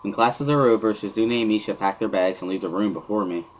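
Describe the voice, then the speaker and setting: Low-voiced man narrating over music. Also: a little fast, a little hiss or hum, rough recording. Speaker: narrator of a visual novel reading. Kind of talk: dramatic first-person scripted narration.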